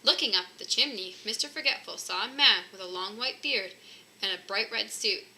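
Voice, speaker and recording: A woman reading a children's picture book aloud in an expressive narrating style. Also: medium pitch, normal speed, rough recording. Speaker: storybook reader.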